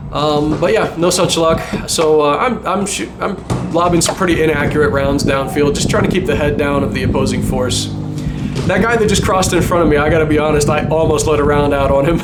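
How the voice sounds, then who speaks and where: A man giving casual voice-over commentary on his own paintball game footage, chatty and self-deprecating, with small chuckles. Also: a little high.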